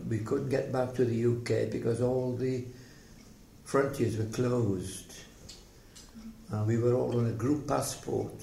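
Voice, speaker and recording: Creaky older Welsh man reminiscing, echoey room. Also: medium pitch, mellow. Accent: slight Welsh. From South Wales. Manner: halting, reflective storytelling. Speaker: interviewee telling life story. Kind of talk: oral history reminiscence. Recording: echoey room, faint background noise.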